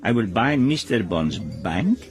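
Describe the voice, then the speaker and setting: A theatrical Italian gambler from a radio drama, jovial on top and needling underneath. His low voice swings widely and takes its time, effusive and dotted with questioning hums, over background music. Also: very animated, unhurried. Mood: theatrically dismayed.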